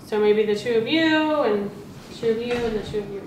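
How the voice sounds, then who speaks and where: A woman's voice: on the high side, fairly quick, darkish tone. A woman practicing classroom teaching, asking questions and echoing back answers in an encouraging way. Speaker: teacher rehearsing a read-aloud lesson with colleagues. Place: indoor room with group, distant mic. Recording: echoey room, distant mic, faint noise.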